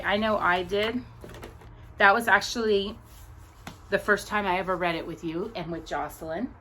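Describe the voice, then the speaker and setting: A woman reading aloud as a storytime host, speaking earnestly and deliberately to young viewers, phrase by phrase. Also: fairly low pitch, sharp tone, a little hiss or hum, rough recording.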